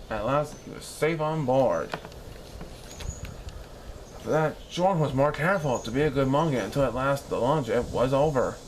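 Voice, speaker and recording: A man reading a children's story aloud in an expressive, animated style with dramatic pauses. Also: mid-range voice, slight background noise, low-quality recording.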